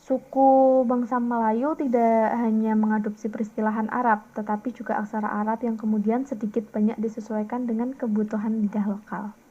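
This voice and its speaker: A young woman delivers a scripted class presentation. Her voice sits a little high and has a soft, mellow tone. She reads formally from prepared text, and the recording sounds dull and lo-fi. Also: flat delivery, fairly quick. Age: young adult. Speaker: student presenter. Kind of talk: scripted academic presentation, read aloud.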